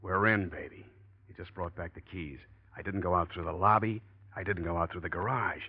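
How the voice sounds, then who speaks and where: A man's voice: low voice, fairly even intonation, a little fast, slightly rough. A man playing a slick, scheming killer who feigns innocence in a 1940s radio drama, glib and evasive. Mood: smug. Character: slick, scheming murderer feigning innocence, nervous under questioning. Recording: dull, muffled old radio recording.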